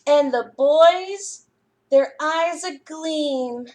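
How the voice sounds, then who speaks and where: A woman with a high-pitched voice leads a story time for young children. She reads at a slow pace, setting out each phrase deliberately. Her rhymes come out in a sing-song lilt, as a children's storyteller would read them. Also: a little mellow.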